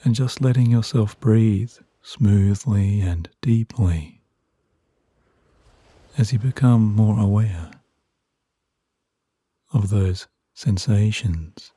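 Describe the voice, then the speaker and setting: Very slow, dark-voiced soothing man. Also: fairly low pitch, breathy edge, dry recording. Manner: soothing, hushed, measured phrases with long pauses. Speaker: hypnotherapy narrator. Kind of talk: slow guided sleep hypnosis meditation.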